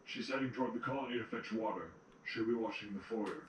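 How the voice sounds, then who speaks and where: A man acts out an authoritative changeling general in an audio drama. He speaks curtly in a lowish voice. It sounds echoey and a bit distant. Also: quick pace, a little hiss or hum, natural voice. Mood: businesslike. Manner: curt, authoritative. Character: stern, commanding male changeling general. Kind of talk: fan audio drama acting.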